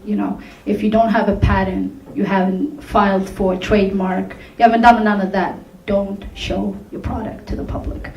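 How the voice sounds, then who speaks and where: A woman pitching her company to judges in an upbeat way, with laughing asides. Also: level tone, moderate pace, gravelly, few pauses, a little mellow. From Tunisia, raised in Sweden. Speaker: contestant presenting her company. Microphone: distant from the recording mic. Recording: echoey hall recorded from far off.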